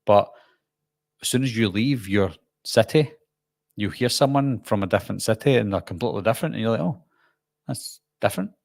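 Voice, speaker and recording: Gravelly Glasgow man chatting on a stream. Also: lowish voice, brisk, long pauses, darkish tone, dry recording, studio quality. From Scotland. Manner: rambling, chatty, lots of ums and restarts. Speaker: YouTube host. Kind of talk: live stream chat reading comments.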